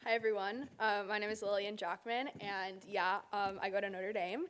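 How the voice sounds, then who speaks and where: A woman's voice: mid-range voice, steady tone, moderate pace, fairly bright tone, slight background noise. A young American woman in her twenties giving an informal, chatty presentation about her internship, with frequent "um" and "you know". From United States. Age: 20s. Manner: chatty, many fillers.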